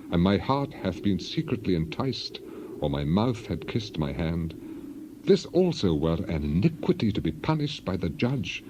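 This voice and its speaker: A man's voice: mid-range voice, mellow, a little hiss or hum, lightly acted. A man playing an upright suffering man who solemnly declaims oaths of innocence in a dramatized scripture reading.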